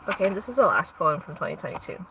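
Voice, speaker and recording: A woman's voice: lowish voice, lively intonation, very fast, recorded from a distance, background noise. A young woman hosting a livestream, reading her own poems aloud with casual asides between them.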